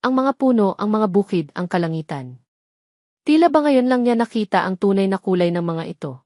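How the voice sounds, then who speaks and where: A woman's voice: medium pitch, lively intonation, fairly quick, a little mellow, no echo. Woman narrating a story, dry close sound. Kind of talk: scripted dramatic story narration.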